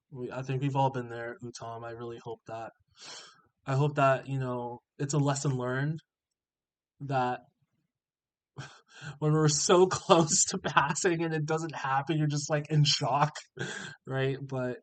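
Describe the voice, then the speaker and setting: Guy w a stuffy nose reading viewer shoutouts, mid-pitch voice, encouraging, chuckles here and there. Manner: encouraging, reassuring. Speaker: trading channel host.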